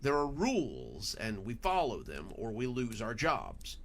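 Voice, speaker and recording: Man as a stern, measured general manager, gravelly. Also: mid-range voice, huge pitch swings, a little hiss or hum. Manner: measured, weighing words. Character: stern, careful male general manager, serious and official. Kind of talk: audiobook horror story dialogue.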